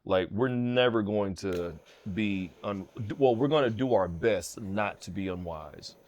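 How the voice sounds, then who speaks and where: A young man hosting a podcast with his father outdoors in the evening, laid-back and slangy, quick to laugh. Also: on the low side, a little mellow. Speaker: podcast host, son. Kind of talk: casual podcast chat. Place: outdoors at a home, Sunday evening.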